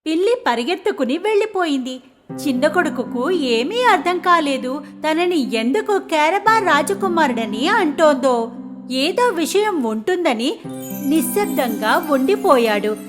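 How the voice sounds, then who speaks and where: A woman reads a children's fairy tale as its narrator. Her voice is high and swings expressively up and down, with music playing clearly behind her. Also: unhurried. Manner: expressive storytelling. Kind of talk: scripted children's story narration.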